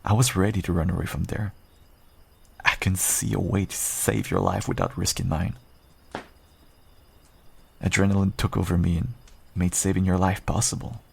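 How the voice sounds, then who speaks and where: A man playing a seasoned werewolf adventurer, gruff but kindly and wry, talking straight to the listener in an audio roleplay. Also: sits low, steady tone, normal speed, long pauses, heavily acted. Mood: earnest, reassuring. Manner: reassuring, wry, bantering. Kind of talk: audio roleplay, one-sided dialogue addressed to listener.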